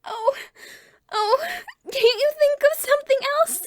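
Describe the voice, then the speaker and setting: A woman voicing an excitable little girl in an audiobook, eager and breathless. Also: very high voice, a little slow, slight background noise, pitched above the performer's own voice. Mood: frantic.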